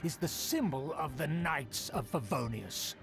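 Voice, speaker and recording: An actor playing a haughty, scheming young aristocrat who talks in a pompous, indignant way. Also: male voice, fairly high pitch, lively intonation, measured pace, gravelly. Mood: affronted. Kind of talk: video game voice acting. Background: game score music.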